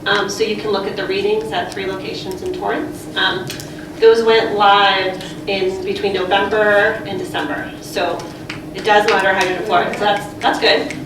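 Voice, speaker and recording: Woman, middle pitch, group founder, friendly, upbeat, um-filled, echoey hall, far mic. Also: flat delivery, moderate pace, noisy background. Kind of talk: public comment. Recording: echoey room, distant mic.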